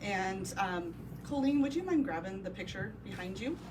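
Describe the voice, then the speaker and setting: A woman's voice: medium pitch, expressive, moderate pace. A woman with a Midwestern accent giving a personal ribbon-cutting speech as a real estate business owner, telling her story with plenty of ums. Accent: Midwestern American. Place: indoor office event space with a crowd. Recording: distant mic, noisy event room.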